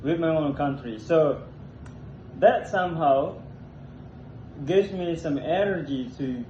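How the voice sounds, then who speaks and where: A young man from Indonesia hosts a casual chat, pausing and repeating words as he works out his points. His tone is mellow and slightly high. The room is echoey and the recording dull and low-fi. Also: expressive, a little hiss or hum. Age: young adult. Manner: halting, repeats words while searching for phrasing. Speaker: host. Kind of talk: casual conversation. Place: apartment room.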